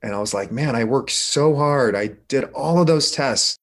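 A man's voice: medium pitch, normal speed. A middle-aged man, an interview guest, telling his personal story in an informal, reflective, conversational way. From Korea, moved to the United States.

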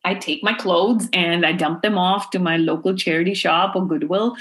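Woman, middle-aged, from Pakistan, low-pitched, earnest, passionate, interview guest. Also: fairly even intonation, moderate pace, fairly bright tone. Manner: earnest, passionate, many "you know" fillers. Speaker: guest, fashion activist. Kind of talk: interview answers.

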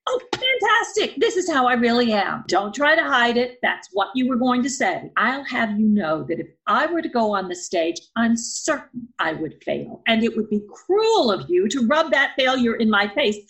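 A woman's voice: fairly high pitch, sing-song, normal speed, hardly any pauses, a bit bright, low-quality recording. An actress playing a blunt, self-doubting older woman on a date in a romantic comedy audio play, clipped and accusing. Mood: wounded, indignant.

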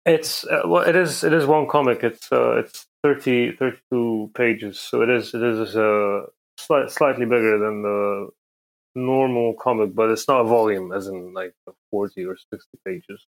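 Halting middle-aged man from Egypt, medium pitch. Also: vocal fry, rough recording. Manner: hesitant, halting delivery. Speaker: podcast guest, comic creator. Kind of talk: casual interview chat.

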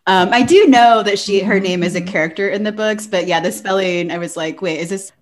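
A woman co-hosting a casual podcast, speaking in a halting, conversational way. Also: medium pitch. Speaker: podcast co-host, librarian. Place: remote video call recording.

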